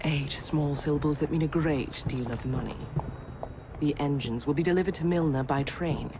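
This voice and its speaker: A woman's voice: sits low, moderate pace, noisy background, natural voice. An actress playing a shrewd, refined Victorian businesswoman in a game cutscene, with poised, polished diction. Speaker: businesswoman Pearl Attaway.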